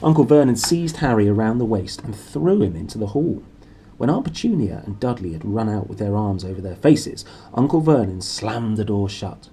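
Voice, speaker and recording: A man's voice: lowish voice, animated, moderate pace, dark tone, slight background noise. A man narrating a storybook aloud in a dramatic, playful way. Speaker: book narrator. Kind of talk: animated storybook read-aloud.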